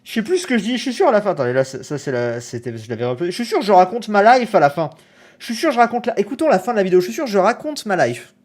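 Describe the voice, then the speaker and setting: A young man on a live stream reacts to his own old video, laughing at himself. his voice swings through a lively range, and he breaks into loud exclamations as he goes. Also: high voice, rapid-fire. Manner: animated, self-mocking, exclamatory.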